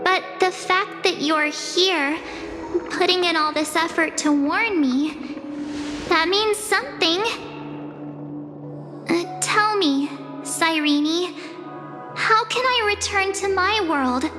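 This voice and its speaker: Game cutscene: plucky loyal young girl, high, bright and earnest, a little hesitant; music under. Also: takes it slowly, pauses often. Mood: unsure, questioning.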